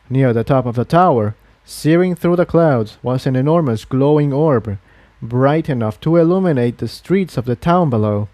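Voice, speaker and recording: A man's voice: mid-range voice, mellow, dry recording. A man reading a fantasy adventure story aloud as its narrator. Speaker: fan fiction narrator.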